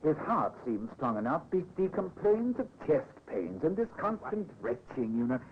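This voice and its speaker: An actor playing a courteous, hesitant family doctor, puzzled and worried, in a 1950s radio drama. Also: male voice, fairly high pitch, big pitch swings, normal speed. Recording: tinny old radio recording with hiss.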